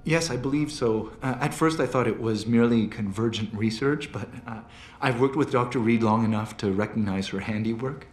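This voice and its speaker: A man's voice: mid-range voice, a little fast, lightly acted. An actor playing an anxious, timid captive male scientist in a video game, speaking in a hesitant, faltering way. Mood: hesitant, subdued. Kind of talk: video game cutscene dialogue. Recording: roomy game cutscene with music. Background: background music.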